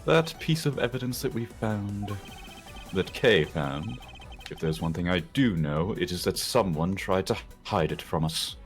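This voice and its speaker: A man's voice: low-pitched, lively intonation, measured pace. A man playing a sly, self-assured prosecutor in a game fan dub. His mid-range voice moves expressively, dry and composed in manner, with music playing behind him. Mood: smug, dry. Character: composed, sly male prosecutor, dryly confident. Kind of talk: fan dub voice acting with improvised banter.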